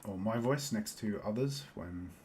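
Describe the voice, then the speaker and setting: South london guy chatting while he plays, kinda low voice, rambly and laughing a lot. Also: unhurried, slight background noise. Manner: rambling, chatty, laughs often, soft-spoken. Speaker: Minecraft let's-play host. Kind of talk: casual chat while playing.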